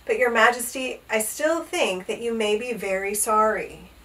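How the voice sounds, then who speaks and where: A woman's voice: fairly high pitch, normal speed, a bit bright, distant mic, slight background noise, natural voice, rough recording. A woman voicing an earnest young page boy in a children's storybook, timid and respectful as he cautions his master. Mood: anxious caution. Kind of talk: animated children's storybook read-aloud.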